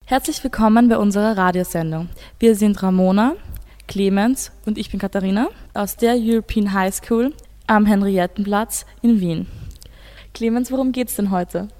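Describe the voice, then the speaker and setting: A woman's voice: medium pitch, normal speed, a little mellow. A female teenage student with an Austrian accent, talking informally on a radio show. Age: teens. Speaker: student radio host and panelist. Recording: long hall-like reverb.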